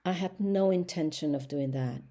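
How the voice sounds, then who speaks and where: A woman reading the lines of a big, gentle male cart horse in an audiobook, speaking sorrowfully. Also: low voice, normal speed. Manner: sorrowful, soft-spoken.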